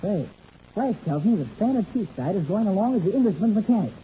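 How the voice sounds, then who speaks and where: Earnest young flyer kid, soft dark tone, old radio adventure show, hissy dull sound. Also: male voice, high-pitched, lively intonation, fast. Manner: boyish, earnest, slangy exclamations. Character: eager, earnest young boy pilot. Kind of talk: 1930s radio adventure serial acting. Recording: muffled, noisy old radio recording.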